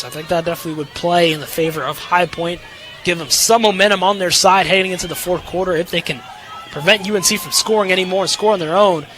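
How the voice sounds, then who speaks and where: High, bright-voiced young male sports announcer. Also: rough recording. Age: young adult. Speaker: sports play-by-play announcer and interviewer. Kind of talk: play-by-play sports commentary.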